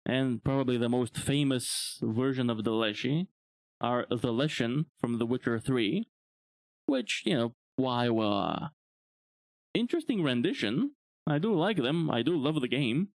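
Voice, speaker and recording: Relaxed, rambling young man. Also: medium pitch, measured pace, no room sound. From Bulgaria. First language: Bulgarian. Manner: relaxed, rambling, chuckles often. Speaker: VTuber streamer. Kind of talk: casual live-stream chat.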